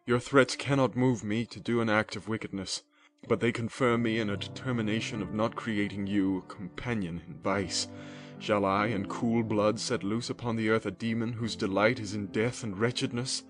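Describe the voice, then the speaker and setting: A man reading the lines of a defiant young scientist, forceful and raised in declamation. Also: on the low side, fairly even intonation, moderate pace, natural voice. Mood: defiant fury. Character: defiant young male scientist, furious and resolute. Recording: music bed underneath.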